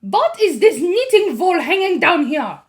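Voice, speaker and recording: A woman voicing a cruel, imperious female witch leader who snaps her commands loudly. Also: very high voice, big pitch swings, measured pace, voice pushed up, full character voice. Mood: suspicious. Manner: snapping, commanding, loud.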